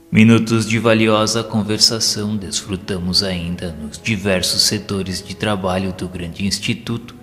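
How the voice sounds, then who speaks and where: A solemn spirit narrator, played by a man with a lowish voice and Brazilian accent. He tells his tale in measured, literary audio-drama style, compassionate and grave. His words ring in a long hall reverb over clear music. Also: a bit bright.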